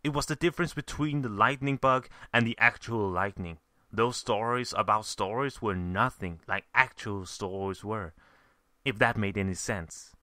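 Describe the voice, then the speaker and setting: A man narrating a story from a script in a measured, theatrical way. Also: on the low side, sharp tone, no echo, studio quality. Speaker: fan fiction reader, narrator.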